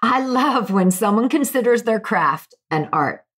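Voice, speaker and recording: Upbeat woman podcast host, slightly high. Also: expressive, unhurried, a bit bright. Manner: upbeat, enthusiastic, uses fillers like "you know". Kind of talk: scripted intro then casual interview.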